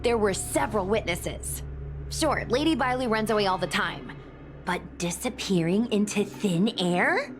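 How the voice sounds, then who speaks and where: A woman voicing a serious, level-headed young investigator in a video game, measured and matter-of-fact. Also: mid-range voice, expressive, close to an everyday voice. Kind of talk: video game character dialogue. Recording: music behind the voice. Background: game music.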